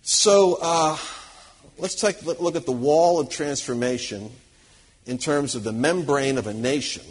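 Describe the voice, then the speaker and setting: Husky-voiced man teaching a church audience. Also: on the high side, unhurried. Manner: earnest, emphatic and conversational, with frequent restarts.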